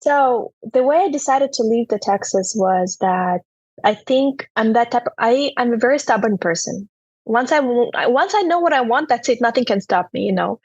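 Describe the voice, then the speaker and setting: A young woman from Turkmenistan with a Turkmen accent, telling her personal story as an interview guest in a halting way, with many "you know" fillers. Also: fairly high pitch, animated, darkish tone, breathy edge, no echo, highs cut off.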